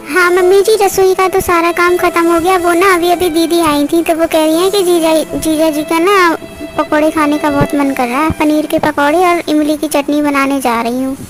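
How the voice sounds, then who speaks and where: An extremely high-pitched young daughter-in-law , played by a woman, in a cartoon family drama. She is dutiful but fed up and sounds put-upon by housework. Music plays clearly behind her. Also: steady tone, normal speed. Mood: polite.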